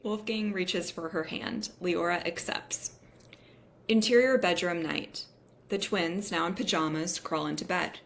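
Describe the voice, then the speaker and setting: A woman's voice: fairly low pitch, a little slow, a bit bright. A woman reading screenplay stage directions as a narrator in a scripted style.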